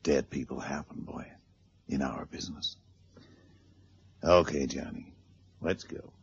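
An actor playing a hard-boiled, world-weary agent, speaking in terse, tough phrases in an old radio drama. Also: male voice, extremely low pitch, measured pace, vocal fry, heavily acted. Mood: weary, matter-of-fact. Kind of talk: 1970s radio drama acting. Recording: muffled, noisy old radio drama recording.

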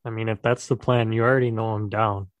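A young American man hosts a casual podcast over a remote call, his voice sitting fairly low. He talks loosely and asks questions full of "like" and "I mean." The sound is dry and close. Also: fast talker, no echo, lo-fi sound. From United States. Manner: relaxed, filler-heavy questioning. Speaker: podcast host.

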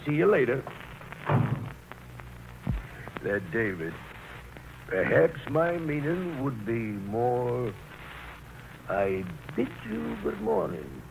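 An actor playing a folksy, good-natured older uncle who exclaims and stammers, in 1940s radio drama style. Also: male voice, medium pitch, very animated, slow pace, creaky, full character voice. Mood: genial, admiring. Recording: noisy vintage radio broadcast recording.

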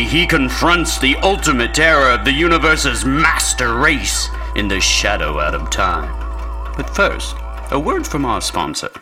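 An actor playing a dramatic, showy host of an old-time radio programme, announcing in 1930s style. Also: male voice, medium pitch, lightly acted.

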